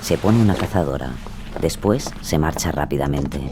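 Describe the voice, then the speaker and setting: Deep guy narrating drama, solemn, music behind. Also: animated, moderate pace.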